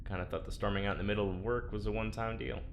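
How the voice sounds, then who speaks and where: Man acting a halting, low-voiced test subject. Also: fairly even intonation, slight background noise, lightly acted. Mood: dry, wry. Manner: halting, stammering, tentative, dryly sarcastic. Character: nervous, wry, well-meaning research subject. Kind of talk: naturalistic audio drama acting.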